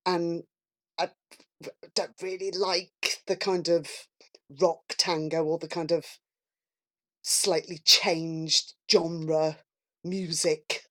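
A woman on a podcast panel, chatting casually in a measured, somewhat hesitant manner. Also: on the low side, flat delivery, a bit bright.

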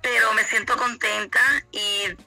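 An older woman from the Dominican Republic, a guest on her son's podcast, speaking earnestly with pauses between phrases. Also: mid-range voice, little pitch movement, gravelly, pushed and bright, no echo, faint music behind. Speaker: interview guest, host's mother. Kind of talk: heartfelt advice in an interview.

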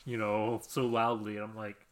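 A man's voice: lowish voice, monotone, moderate pace, a little hiss or hum. A man co-hosting a podcast, chatting casually in a rambling, self-deprecating way and laughing often. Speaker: podcast co-host. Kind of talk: casual chat.

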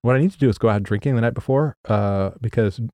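A man's voice: medium pitch, quick pace, warm tone, no room sound. A man co-hosting a podcast in casual banter, making dry, teasing wisecracks at his partner. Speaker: podcast co-host. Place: podcast studio. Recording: dry studio sound.

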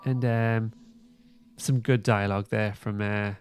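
A man hosting a podcast, giving a casual recap in an informal, halting way. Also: fairly low pitch, steady tone, faint music behind. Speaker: podcast host. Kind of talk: casual plot recap and review.

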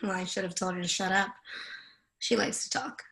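A woman's voice: mid-range voice, fairly even intonation, fairly quick, gravelly, a bit bright. A woman playing a confident, jaded senator's wife in a screenplay reading, teasing, assertive and freely swearing. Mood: smooth hosting. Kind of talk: dramatic screenplay table read.

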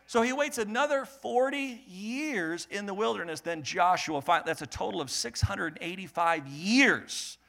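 A man preaching a sermon in a lively, joking way, swelling loud, then dropping to quiet teaching. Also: high-pitched, a little slow, creaky, hardly any pauses. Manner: animated, jokey preaching with sudden loud swells and quiet stretches. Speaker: pastor.

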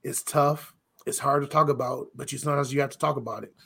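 A man with a rough voice talking bluntly and casually as a co-host on a talk show, sounding exasperated. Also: mid-range voice, steady tone, quick pace, pushed and bright. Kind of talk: casual opinionated conversation.

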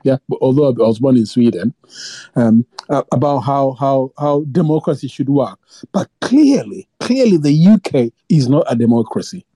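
A man's voice: mid-range voice, animated, normal speed, dark tone, breathy edge, dry recording. A man calling in to a talk show, who speaks with passion and stumbles over many repeated words and restarts. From Africa. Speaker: caller. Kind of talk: impassioned phone-in opinion.

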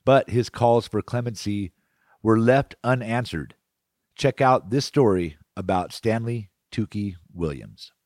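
A man's voice: fairly low pitch, measured pace, darkish tone, dry recording. A man with an American accent hosting a radio magazine show, reading from a script. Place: radio broadcast. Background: music bed.

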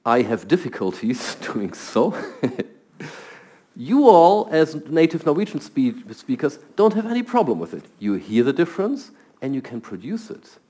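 A man's voice: medium pitch, very animated, normal speed, mellow. A middle-aged man from Germany with a German accent, a scientist answering interview questions thoughtfully and often repeating words as he starts. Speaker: guest scientist.

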